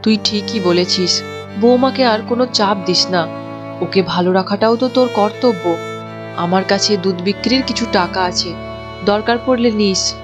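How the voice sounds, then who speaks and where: A woman playing a caring, gently worried middle-aged mother in a cartoon story, speaking in a solicitous, motherly way. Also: mid-range voice, normal speed, over music, lightly acted. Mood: reassuring. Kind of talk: Bengali cartoon story acting.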